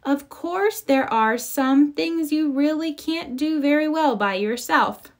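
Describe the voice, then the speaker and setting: A woman voices a kindly storybook mother bear. She is calm and reassuring, with a gentle, measured manner and a lightly high, melodic voice. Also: exaggerated voice. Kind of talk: children's storybook read-aloud.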